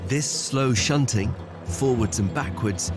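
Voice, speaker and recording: Male documentary narrator over loud music. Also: mid-range voice, slow pace. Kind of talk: scripted narration.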